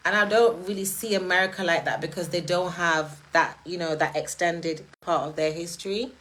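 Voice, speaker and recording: Emphatic British woman, slightly low voice. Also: fairly even intonation, fairly bright tone. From United Kingdom. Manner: emphatic, with rhetorical questions and quick laughs. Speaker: live-stream host. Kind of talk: casual live-stream talk, answering chat comments.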